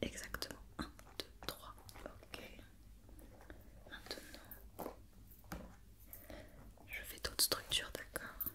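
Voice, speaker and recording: Female ASMR performer as a doctor: bright, sharp whisper, patient and gentle, repeats instructions softly. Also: drawn-out words, long pauses. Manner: patient, gentle repetition of questions and reassurance. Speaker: ASMR roleplayer playing a doctor. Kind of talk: whispered ASMR medical check-up roleplay.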